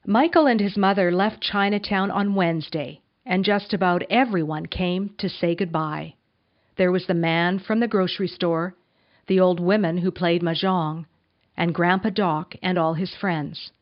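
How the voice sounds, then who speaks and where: A woman's voice: on the low side, unhurried. A woman narrating a children's storybook, in a slightly dull recording with music behind her. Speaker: storybook reader.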